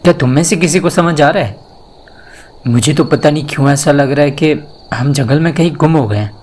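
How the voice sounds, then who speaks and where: A man's voice: a little high, brisk, darkish tone, slight background noise, close to an everyday voice. A man playing a wary, questioning young university student in an audio drama. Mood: uneasy.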